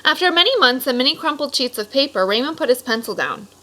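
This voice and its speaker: A woman reading a children's picture book aloud expressively, pausing for casual explanatory asides to young listeners. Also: sits high, expressive, sharp tone. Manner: explanatory, stops to clarify words for children. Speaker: read-aloud storyteller.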